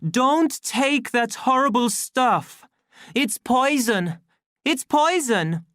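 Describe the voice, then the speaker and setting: A reader playing an impassioned, naive young male outsider in an audiobook, declaiming in a loud, ringing way. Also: sits high, animated, extremely slow, dry recording, clean studio sound, voice pushed up, heavily acted. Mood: desperate pleading.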